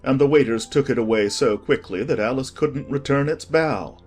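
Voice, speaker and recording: An audiobook narrator reading a children's story in a measured, expressive scripted style. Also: medium pitch, faint music behind.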